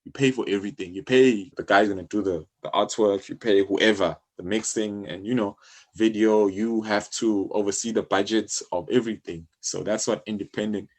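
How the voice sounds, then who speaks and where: Low-voiced South African man explaining things casually. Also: steady tone, normal speed, darkish tone. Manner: explanatory, conversational, uses everyday examples. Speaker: interview guest, music publishing company co-founder. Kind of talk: casual interview talk.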